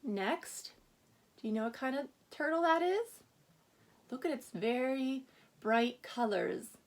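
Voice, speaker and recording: A woman speaks as a teacher to young children in a reading lesson. Her voice sits somewhat high and swings widely in pitch. She instructs step by step, prompting with questions and stressing words expressively. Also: animated, takes it slowly. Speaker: first-grade teacher.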